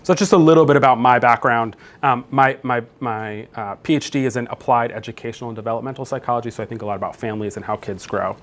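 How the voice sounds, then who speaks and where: A man's voice: medium pitch, fast, few pauses. A man in his thirties from New Jersey giving a conference keynote, talking conversationally with many fillers and restarts. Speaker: keynote speaker, professor. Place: conference room.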